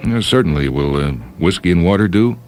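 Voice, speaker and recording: A man playing a proud, dismissive male scientist in a story reading, with a formal, self-assured and measured manner. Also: low-pitched, lively intonation, darkish tone, faint music behind, voice pushed up. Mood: civil.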